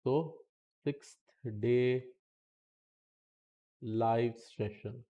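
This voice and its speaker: A man's voice: mid-range voice, extremely slow, phone-quality sound. A man teaching statistics in a live-streamed lesson, chatty and talking to the chat between points, saying okay often.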